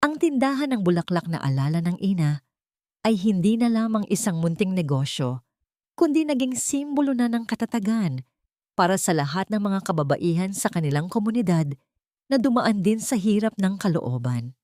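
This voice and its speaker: A woman with a Filipino accent narrating a sentimental story in a measured, emotive, scripted storytelling manner. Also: mid-range voice, very animated, dark tone, dry recording, studio quality.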